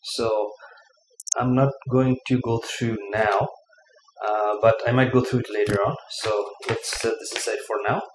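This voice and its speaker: A man giving a casual unboxing review of a gadget, talking haltingly with many fillers, at a table in his living room. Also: mid-range voice, level tone. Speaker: product reviewer. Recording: echoey room, faint room noise.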